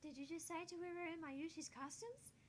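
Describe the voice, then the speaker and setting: A woman's voice: extremely high pitch, expressive, fairly quick, voice pushed up. A woman voicing a sweet, childlike teenage girl from a visual novel in a cutesy manner. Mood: sweet, pleading. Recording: faint background noise.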